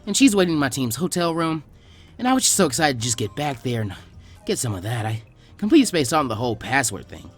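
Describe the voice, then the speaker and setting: A man's voice: medium pitch, sing-song, fast. Man playing smug teen ninja boy, crude, unbothered, taunting delivery, story reading, faint music. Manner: smirking, taunting, nonchalant. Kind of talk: fan fiction reading.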